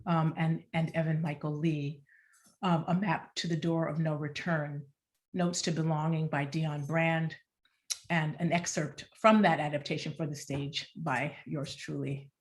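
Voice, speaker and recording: A woman narrates a play's stage directions in a scripted, dramatic style. Her voice is moderately low, and her delivery is deliberate and expressive. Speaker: playwright reading stage directions.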